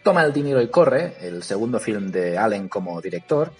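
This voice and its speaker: A man, hosting a podcast and recommending a film in a lively, ironic way. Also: fairly low pitch, normal speed, soft background music. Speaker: podcast host.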